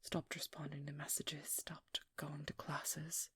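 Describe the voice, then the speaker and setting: A woman playing a guarded, protective young vampire hunter in a hushed, breathy ASMR roleplay, speaking slowly with halting pauses close to the mic. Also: deep voice, flat delivery, exaggerated voice. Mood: somber, confiding. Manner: slow, halting, intimate delivery with pauses. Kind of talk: whispered ASMR roleplay, dramatic monologue. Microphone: close microphone, dry.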